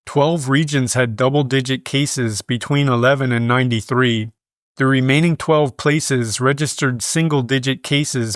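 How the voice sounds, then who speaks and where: A man's voice: medium pitch, no echo. Man reading scripted news in a dry studio. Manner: measured, formal reading. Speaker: news reader.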